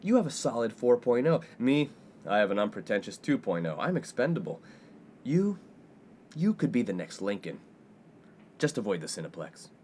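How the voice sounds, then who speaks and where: A man's voice: mid-range voice, very animated, moderate pace, long pauses, a little mellow, close to an everyday voice. A glib young college playboy played by an actor in a fifties-set radio comedy. His delivery is breezy and self-important, swinging freely up and down, with a little noise behind it. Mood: smooth, self-satisfied. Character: brash, self-absorbed, smooth-talking college playboy best friend. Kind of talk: comedic 1950s-set radio play acting. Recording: faint background noise.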